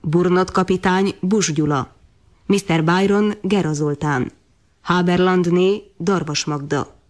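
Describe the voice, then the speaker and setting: A woman radio announcer reading scripted credits in a formal, measured manner. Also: lowish voice.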